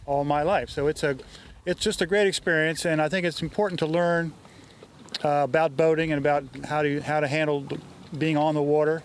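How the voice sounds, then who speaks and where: An older man giving unscripted interview answers outdoors in halting, hesitant phrases. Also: on the high side, fairly even intonation. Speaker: yacht club commodore, interview guest. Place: outdoors on a waterfront dock. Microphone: interviewer's handheld microphone. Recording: outdoor sound, faint boat-side noise.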